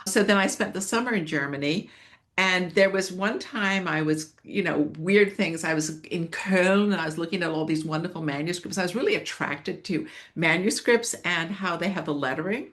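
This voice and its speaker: A woman's voice: on the low side, normal speed, a bit bright. An older woman from Switzerland, a scholar guest in an interview, telling stories in a rambling, self-correcting way and laughing often. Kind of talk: reflective interview storytelling.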